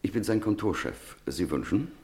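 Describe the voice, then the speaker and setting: An actor playing a curt, formal head clerk of an office in a 1950s German radio drama. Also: male voice, low-pitched, animated, moderate pace, dark tone.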